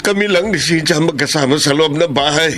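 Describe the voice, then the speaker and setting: A man's voice: fairly high pitch, brisk, a bit bright, slight background noise, close to an everyday voice. A man playing a boss who wakes from a drunken night and confesses in anguish, stammering as he speaks, in a classic radio drama. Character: male boss, drunk and now guilt-stricken.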